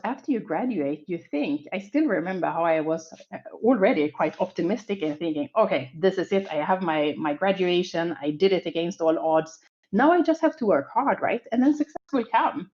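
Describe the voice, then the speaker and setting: A Swedish-accented woman in her forties gives an online conference talk in a fairly low voice. She sounds upbeat and optimistic and tells her story with many small fillers. The audio is a bit dull and lo-fi, like a video call. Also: a little mellow. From Sweden. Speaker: conference speaker.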